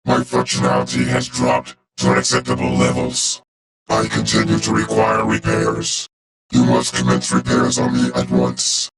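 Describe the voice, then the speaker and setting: A man voicing a cold, loyal robot warrior for a video game, speaking in a clipped, formal, deadpan way through a robotic filter. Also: medium pitch, moderate pace, creaky, rough recording. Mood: clinical, detached. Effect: robotic vocoder filter.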